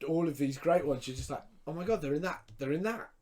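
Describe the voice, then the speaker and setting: A man's voice: fairly high pitch, fast talker, a crowd in the background. A man in his late thirties chatting casually as a podcast co-host, opinionated, emphatic and prone to swearing. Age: late 30s. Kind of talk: casual chat.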